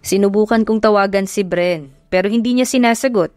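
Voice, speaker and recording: A woman's voice: medium pitch, fairly quick, darkish tone, no echo, clean studio sound, faint music behind, lightly acted. A woman voicing a cocky, teasing young man who talks in a smug way.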